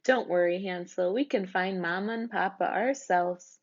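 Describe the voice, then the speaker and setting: A woman's voice: mid-range voice, moderate pace, lo-fi sound, lightly acted. A woman voicing a bossy, outspoken but caring little girl in a storybook. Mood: reassuring.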